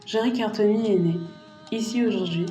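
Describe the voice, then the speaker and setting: A woman's voice: medium pitch, moderate pace, mellow, roomy sound, faint music behind, natural voice. An actress playing an Indian girl who grows into a lonely bride, narrating her own life in a scripted dramatic style. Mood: joyful. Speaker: lead narrator.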